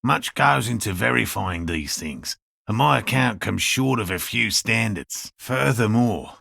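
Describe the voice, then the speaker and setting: A man playing an earnest, slightly defensive cryptozoologist in a video game, with a raspy, gravelly voice and a measured, academic, matter-of-fact manner. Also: fairly low pitch, animated, sharp tone, no echo, studio quality, exaggerated voice. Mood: careful, defensive. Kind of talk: video game character dialogue.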